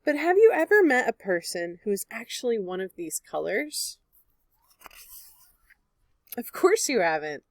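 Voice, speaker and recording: A woman reading a picture book aloud like a teacher, in a clear, expressive manner meant for young children. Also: fairly high pitch, a little slow, long pauses, no room sound, studio quality. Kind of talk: children's read-aloud narration.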